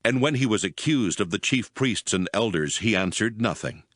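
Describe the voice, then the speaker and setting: A man narrating scripture in a steady, formal reading style. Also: lowish voice, normal speed, dry recording, studio quality. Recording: narration over music.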